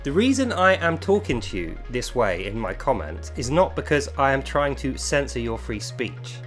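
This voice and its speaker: A man's voice: mid-range voice, sing-song, moderate pace, faint music behind. A man from England with a British accent delivers a confrontational, insistent monologue as an online video creator, addressing one person directly with emphatic phrasing.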